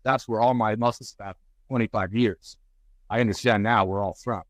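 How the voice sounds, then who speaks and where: A man's voice: lowish voice, no echo. A man in his forties, a guest author and speaker, talking candidly in an interview with frequent restarts. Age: 40s. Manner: halting, restarts often, animated when telling stories.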